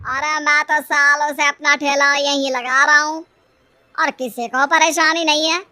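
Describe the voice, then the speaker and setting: A defiant cart-seller man acted by a woman storyteller argues back in a storybook style. The voice is very high and bright. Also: flat delivery, measured pace, dry recording, full character voice. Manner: argumentative. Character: defiant male street cart vendor.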